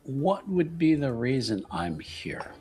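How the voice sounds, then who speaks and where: A man's voice: medium pitch, big pitch swings, slow, a little mellow, faint music behind. A man playing a tabletop roleplaying game, talking casually through his character's choices.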